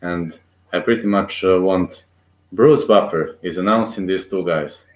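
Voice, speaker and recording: Excitable man calling a fight, echoey room. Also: sits low, unhurried. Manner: excitable, rooting aloud, frequent fillers. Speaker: amateur fight commentator. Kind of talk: live play-by-play commentary.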